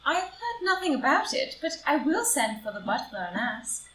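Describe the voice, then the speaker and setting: A proper, earnest society wife, acted by a woman, gracious and formal. Her fairly high voice moves a lot in pitch, heard at a distance in a roomy play reading. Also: sing-song, normal speed, fairly bright tone. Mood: courteous. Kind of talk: group play reading for audio drama. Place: live in-person group reading in a room.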